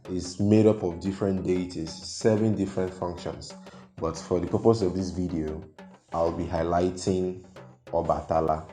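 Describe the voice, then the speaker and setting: Deep, slow man in an echoey room. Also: warm tone. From Nigeria. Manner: measured, pausing often with fillers. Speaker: YouTube host. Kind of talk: explaining folklore to viewers. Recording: echoey room with faint music.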